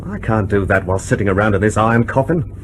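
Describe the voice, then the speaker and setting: A man acting as a cynical secret agent in a radio play. His voice is on the low side, dry and sardonic, with a grumbling, uneasy edge. Faint noise sits behind him. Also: lively intonation, quick pace, lightly acted. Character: cynical, nervy male British intelligence agent.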